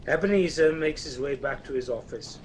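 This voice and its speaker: A man narrating a scripted radio play in a wry, measured storytelling manner. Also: medium pitch, a little hiss or hum, natural voice.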